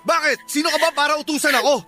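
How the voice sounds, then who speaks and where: A jilted lover in an emotional radio drama. His voice is very high and sharp, full of anguish and accusation, pleading one moment and raging the next. Music plays faintly behind him. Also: fast, full character voice. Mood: accusing. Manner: accusatory, pleading, bursting into tearful shouts.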